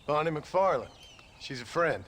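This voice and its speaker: An actor playing a dry, devoted reformed gunslinger turned rancher, plain-spoken, with an American Western accent, in a video game. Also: male voice, fairly high pitch, expressive, measured pace, fairly bright tone, a little hiss or hum. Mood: defensive. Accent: American frontier Western. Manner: plain-spoken, dryly teasing. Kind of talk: video game cutscene acting.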